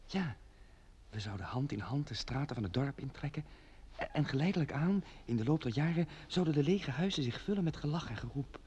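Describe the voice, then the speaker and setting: A man's voice: on the high side, lively intonation, brisk, lo-fi sound, noisy background, natural voice. An actor playing a romantic young village man, tender and earnest, in an old Dutch radio drama. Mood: tender, intimate.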